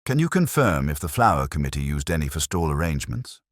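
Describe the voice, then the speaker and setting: A man voicing a quiet, shrewd police inspector who speaks calmly and gently in a mystery story. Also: rumbling low, no room sound, clean studio sound, full character voice. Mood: politely probing. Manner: calm, gentle, measured.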